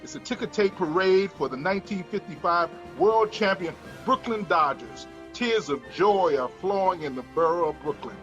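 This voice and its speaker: A man's voice: a little high, a bit bright. A man reading screenplay stage directions as scripted narration. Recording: dull lo-fi sound, music behind.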